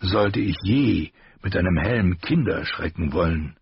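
A man voicing a grave, patient noble lord who speaks low, slow and measured. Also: breathy edge, no room sound, heavily acted. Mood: stern. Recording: dull lo-fi recording.

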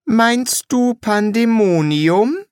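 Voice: mid-range voice, sing-song, slow, no echo, studio quality, voice pushed up. A narrator voicing an eager, gullible young male demonologist who makes demands and then pleads wistfully. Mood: pleading. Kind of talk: audiobook reading.

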